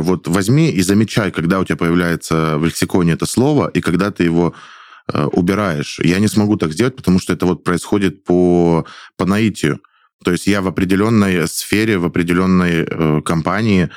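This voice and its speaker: A young man with a low, deep voice chats hesitantly, pausing and restarting often and chuckling between thoughts. The sound is dry and close, with no room echo. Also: darkish tone. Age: 20s. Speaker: guest actor. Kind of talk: casual studio chat.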